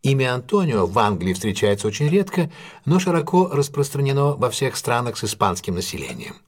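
An actor playing a composed, analytical male detective in an audio drama, explaining things in a deliberate, self-assured way. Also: medium pitch, big pitch swings. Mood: composed, explanatory. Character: composed, analytical male English detective recounting a solved case.

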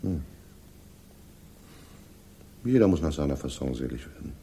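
An actor playing a self-assured, pious police inspector in a radio crime drama, measured and condescending in his authority. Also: rumbling low, very animated, dark tone, breathy edge, full character voice. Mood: cool, warning. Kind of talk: 1960s German radio crime drama acting.

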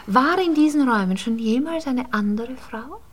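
An actress playing an anxious, coy married Viennese lady in a radio drama, hesitant and breathy, with an Austrian accent. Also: high-pitched, lively intonation, normal speed, a little hiss or hum. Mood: ashamed, anxious.

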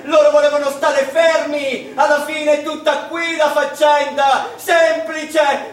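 Stage drama role: emotional, high-pitched mathematician, declamatory and anguished, very echoey distant recording. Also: male voice. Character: anguished male mathematician, defiant, tender and grieving. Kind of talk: theatrical stage monologue.

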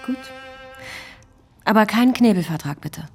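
A woman playing a poised, shrewd film actress in a radio play, polite and measured. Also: mid-range voice, expressive, music in the background, natural voice. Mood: poised, charming. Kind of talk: radio drama dialogue.

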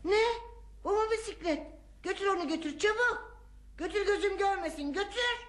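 An actress playing an outraged, scolding wife in a radio play, shrill and exclaiming, repeating herself. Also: female voice, normal speed, a bit bright, roomy sound. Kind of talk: radio theater acting.

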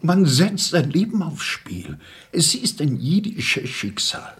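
An actor playing a small, shabby, timid currency dealer in a radio play, husky and plaintive, with a world-weary storytelling manner. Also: male voice, a little high, very animated, slow, a bit bright, exaggerated voice.

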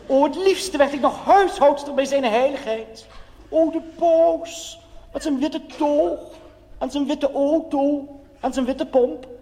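A man's voice: very high voice, takes it slowly, dark tone, in a large hall, pitched above the performer's own voice, heavily acted. A man playing a chatty, naive, gushing modern nun in a cabaret monologue, confiding earnestly with frequent exclamations.

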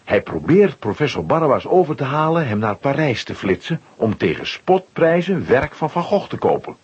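A man's voice: mid-range voice, very animated, moderate pace, slightly rough. A man narrating a children's audio play in a scripted storytelling style. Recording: slightly dull old audio recording.

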